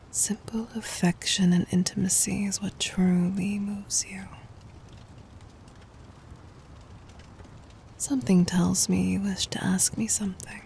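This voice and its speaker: A woman performs a gently dominant demon queen in an intimate ASMR roleplay. She whispers in a dark, mellow tone with a crackly edge, tender and regal toward her lover. A faint noise sits behind her. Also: fairly low pitch. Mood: adoring. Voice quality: soft, breathy whisper. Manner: tender, formal, regal phrasing.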